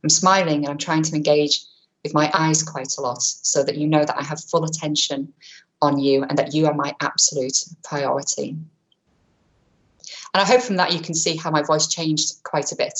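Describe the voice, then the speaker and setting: Woman, low register, webinar presenter; brisk, chatty, self-correcting delivery on a webcam mic. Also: steady tone, poor audio. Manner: quick, chatty, self-correcting, many fillers; says she has a powerful voice. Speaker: webinar presenter on vulnerable clients. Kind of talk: online training talk.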